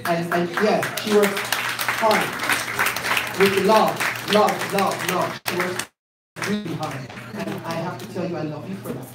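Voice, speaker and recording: A woman emcee speaks to a room full of people, repeating words for emphasis and building warmth as she goes. Her voice comes from across a very echoey space, picked up from a distance. Steady background noise sits under everything. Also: lowish voice, measured pace, a loud crowd. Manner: warm, repetitive, emphatic, animated. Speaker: event host. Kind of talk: live event speech to a crowd. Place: community event space indoors.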